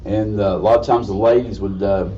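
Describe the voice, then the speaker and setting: A man with a slightly raspy voice gives an informal history lecture in a folksy, halting way. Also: lowish voice, fairly even intonation, mellow. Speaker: guest lecturer. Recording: distant mic, dull muffled sound, faint noise.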